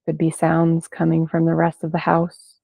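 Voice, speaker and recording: A woman leading a guided meditation in gentle, unhurried phrases with long pauses between them. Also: low-pitched, monotone, vocal fry, no echo. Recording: band-limited, phone-like sound, dry.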